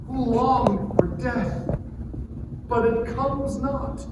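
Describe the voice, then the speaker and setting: A man playing an afflicted man in anguish who curses his birth, in a slow, solemn dramatic scripture reading. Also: very high-pitched, recorded from a distance. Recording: very echoey hall from afar, noisy.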